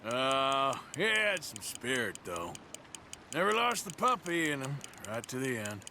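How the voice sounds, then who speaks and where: An actor playing a gruff, world-weary American outlaw in a western game, talking in a dry, laconic, folksy manner. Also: male voice, medium pitch, big pitch swings, unhurried, heavily acted. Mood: wistful. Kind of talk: cinematic western game acting. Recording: very loud watery boat noise behind. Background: water and boat sounds.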